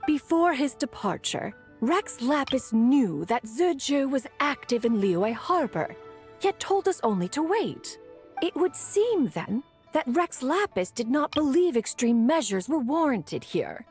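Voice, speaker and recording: A woman's voice: high-pitched, big pitch swings, unhurried, a little mellow, faint music behind, heavily acted. A woman voicing a haughty, dignified immortal sage in a video game, speaking in formal, archaic phrasing. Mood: composed, authoritative. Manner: formal, archaic, calls self "one". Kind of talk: anime-style video game voice acting.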